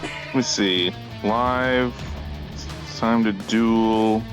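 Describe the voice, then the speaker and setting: A man's voice: lowish voice, fairly even intonation, extremely slow, music in the background. A man chatting casually as a livestreamer, rambling and self-deprecating, with an everyday conversational delivery.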